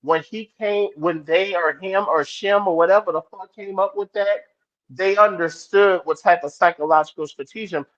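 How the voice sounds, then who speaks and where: A man with a fairly high voice, talking with blunt, profane emphasis. He often stops and restarts his sentences. The sound is a little dull and lo-fi. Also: normal speed, a bit bright. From New Orleans, US. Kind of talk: casual discussion.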